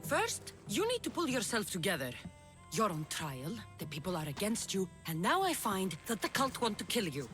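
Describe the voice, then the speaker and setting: A woman's voice: mid-range voice, wildly swooping pitch, normal speed, a bit bright, faint music behind. An actress playing a bold, blunt female mercenary in a video game, speaking firmly and with command. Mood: stern. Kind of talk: video game cutscene acting.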